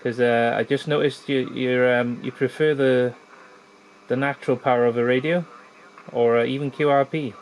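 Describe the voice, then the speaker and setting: A man's voice: mid-range voice, fairly even intonation, moderate pace, poor audio. Easygoing male ham operator, faint hiss. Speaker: amateur radio operator. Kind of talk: casual ham radio chat with call signs. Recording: faint radio hiss in the room.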